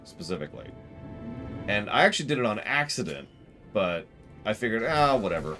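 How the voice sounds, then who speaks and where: A man chatting casually on a game livestream, rambling in a wry way. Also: lowish voice. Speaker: game streamer.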